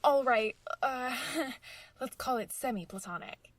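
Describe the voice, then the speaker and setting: Actress as a high-voiced, sardonic college girl. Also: a little slow, dry recording, lightly acted. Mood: defensive, nervous. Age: young adult. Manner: wry, blunt, teasing. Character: sardonic, stubborn, awkwardly lovestruck college girl. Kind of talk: audio drama acting.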